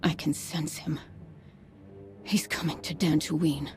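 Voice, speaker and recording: A woman playing a haunted seer in a space fantasy game, speaking in a hushed, ominous manner. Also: deep voice, fairly even intonation, slow pace, slight background noise. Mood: fearful, foreboding.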